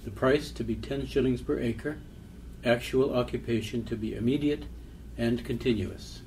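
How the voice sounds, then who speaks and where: A man's voice: mid-range voice, steady tone, moderate pace, a little mellow, a little hiss or hum. A man giving a scripted reading of documentary poetry and old records.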